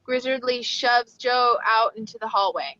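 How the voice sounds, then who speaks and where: A woman's voice: on the high side, unhurried, sharp tone, no echo, muffled recording. A woman narrating stage directions at a screenplay table read.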